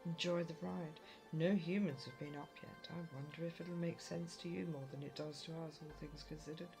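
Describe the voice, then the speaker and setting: A man's voice: on the high side, fairly even intonation, fairly quick, gravelly, over music. A man playing a friendly, helpful local at a fantasy fair in an audio roleplay, easygoing and reassuring. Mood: kindly, reassuring.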